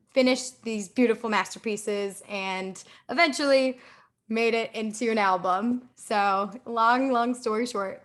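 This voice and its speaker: A woman's voice: mid-range voice, a bit bright. Young woman from Texas chatting casually. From Houston, Texas, United States. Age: young adult. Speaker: guest singer-songwriter.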